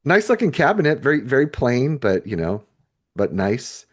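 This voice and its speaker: A man's voice: medium pitch, sing-song, normal speed. A man co-hosting a podcast in casual, unscripted talk, hesitant and rambling as he thinks aloud. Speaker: podcast co-host. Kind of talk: casual unscripted discussion of a book chapter.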